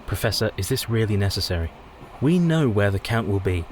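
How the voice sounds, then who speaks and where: A protective young Victorian husband acted by a man speaks in a low voice, formal and earnest. The radio-play delivery sits over faint background noise. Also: expressive, brisk, a little mellow, close to an everyday voice. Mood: worried.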